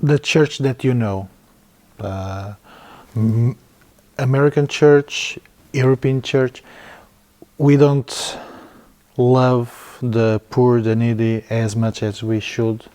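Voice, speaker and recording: A man with Portuguese as his first language, hosting a podcast and putting a halting question to his guest. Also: medium pitch, darkish tone. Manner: halting, searching for words. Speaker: podcast host. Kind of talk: interview question.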